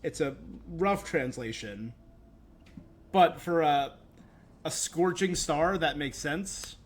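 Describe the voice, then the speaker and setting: A man's voice: a little high, slow. A man livestreaming a game with casual commentary on its lore, thinking aloud as he goes.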